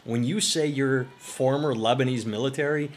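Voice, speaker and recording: A man's voice: medium pitch, fairly even intonation, moderate pace. A man co-hosting a podcast, casually telling a profane, rambling story. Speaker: podcast co-host.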